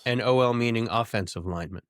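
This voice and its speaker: A man's voice: mid-range voice, fast, dry recording, studio quality. A young man co-hosting a podcast, trading sardonic jokes in casual banter. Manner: sardonic, joking. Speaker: podcast co-host.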